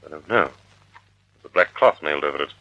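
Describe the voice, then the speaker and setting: An actor playing a suave, resolute gentleman sleuth, calm amid danger, in a 1940s-style radio mystery. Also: a bass voice, normal speed, lo-fi sound. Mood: brooding. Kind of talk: 1940s radio drama acting.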